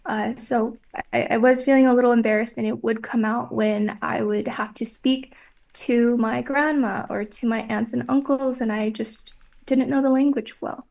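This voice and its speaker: A young woman whose first language is Vietnamese answers personal questions slowly and thoughtfully. Her fairly high voice has a gravelly edge, and she often pauses with "um" as she thinks. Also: steady tone, a little mellow. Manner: measured, reflective, with frequent "um". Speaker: interviewee.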